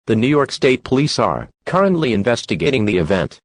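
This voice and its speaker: Guy reading an emergency broadcast, mid pitch, formal and emotionless, very dry audio. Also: a little fast, dry recording. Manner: flat, impersonal. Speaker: emergency alert announcer. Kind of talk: formal scripted emergency bulletin.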